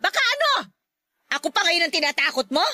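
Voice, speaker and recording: A domineering middle-aged Filipino mother in a radio drama. Her voice is very high and sharp, scolding and nagging with scorn. The sound is close and dry. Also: sing-song, a little fast, no echo, full character voice. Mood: angry, scornful. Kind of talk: Tagalog radio drama acting.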